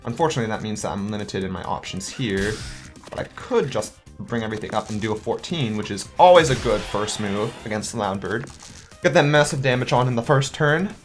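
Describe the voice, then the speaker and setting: A man's voice: lowish voice, lively intonation, brisk, soft background music. A man hosting a gaming channel, chatting casually and thinking aloud as he works out his moves and counts up his dice. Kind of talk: let's play commentary.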